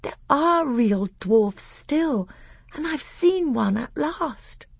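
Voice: mid-range voice, very animated, measured pace, husky, no room sound, clean studio sound, pitched above the performer's own voice, exaggerated voice. A narrator voicing a good-hearted young boy prince, earnest and wide-eyed, in a storybook reading. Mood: delighted.

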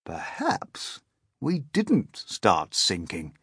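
A man voicing a wise, thoughtful old grasshopper in a husky voice. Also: lowish voice, huge pitch swings, extremely slow, a bit bright, dry recording, clean studio sound, full character voice. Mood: musing.

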